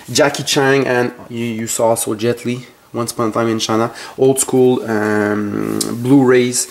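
A man with a French Canadian accent, his first language French, showing his collection in his home basement and talking haltingly with many fillers and self-corrections. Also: mid-range voice. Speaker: collector vlogger. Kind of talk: casual collection tour. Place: home basement full of shelves.

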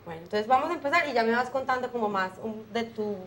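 A young woman hosting a cooking show, explaining each step to viewers in a brisk, friendly way from a small studio kitchen. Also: a little high, lively intonation, a bit bright, slight background noise. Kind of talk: friendly televised cooking lesson.

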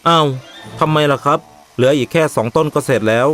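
A man voicing a respectful, sensible young village man in an animated ghost story, speaking politely and earnestly. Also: fairly high pitch, brisk, soft background music, lightly acted. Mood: questioning, respectful.

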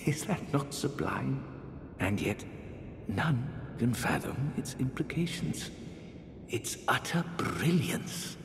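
Fantasy game casting: eerie, genteel high priest, older, unhurried and breathy, grandiloquent, set in echoing reverb with faint rumble. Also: male voice, very animated, heavily acted. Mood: rapturous. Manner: theatrical, archaic, drawn-out phrasing. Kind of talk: dramatic fantasy game character dialogue. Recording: echoey hall reverb, faint rumbling noise.

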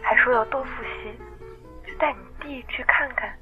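A woman's voice: high voice, moderate pace, muffled recording, faint music behind, close to an everyday voice. An actress playing a middle-aged mother in an audio drama, homely, tentative and coaxing. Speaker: voice actor.

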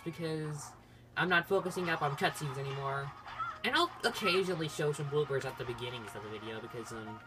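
Casual let's-play host, mid-pitched androgynous voice, rambling and self-interrupting, game music underneath. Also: rough recording. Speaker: gaming video host. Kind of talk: casual playthrough commentary. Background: video game music.

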